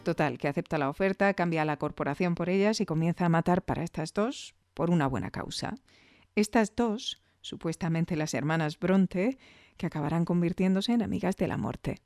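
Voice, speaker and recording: A woman's voice: fairly low pitch, normal speed, a little mellow, no echo. Female podcast host, Castilian accent from Spain, chatty reviewer delivery, very dry sound. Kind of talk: conversational podcast commentary.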